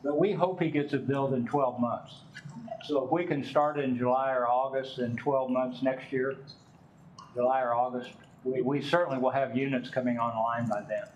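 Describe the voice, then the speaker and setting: An older man representing a developer, giving folksy, rambling testimony at a city council meeting and speaking loudly on purpose. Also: mid-range voice, fairly even intonation, moderate pace, far from the mic, dull audio, slight background noise.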